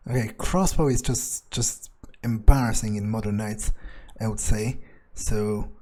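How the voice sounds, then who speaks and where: A man's voice: fairly low pitch, fairly even intonation, unhurried, dark tone, close to an everyday voice. A man playing a cynical, pragmatic vampire in a video game, thinking aloud in a musing, hesitant, casually profane way. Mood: musing, deliberating. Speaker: player doing an in-character playthrough. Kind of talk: improvised in-character thinking aloud over gameplay.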